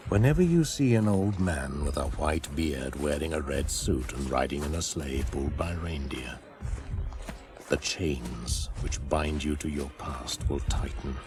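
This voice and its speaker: An actor playing an ancient, ominous shaman spirit in a radio drama, speaking in a solemn, portentous, deliberate way. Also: a bass voice. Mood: incantatory, commanding. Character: ancient ominous male shaman, pagan spirit of Father Christmas. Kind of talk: 1980s radio drama, incantatory monologue. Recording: very noisy old radio broadcast recording.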